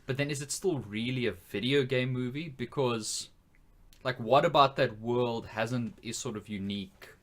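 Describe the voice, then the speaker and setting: A man's voice: medium pitch, normal speed, a bit bright. A man co-hosting a podcast in casual conversation, discussing and putting questions to the others. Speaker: podcast co-host. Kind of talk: casual chat, posing questions to co-hosts.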